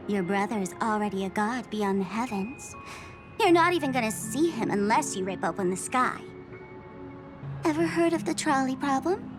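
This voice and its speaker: A teasing masked trickster. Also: female voice, high-pitched. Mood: menacing. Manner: theatrical, teasing, laughs at her own jokes. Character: mocking masked female trickster, playful and menacing. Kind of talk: video game dub. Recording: game cutscene with music underneath.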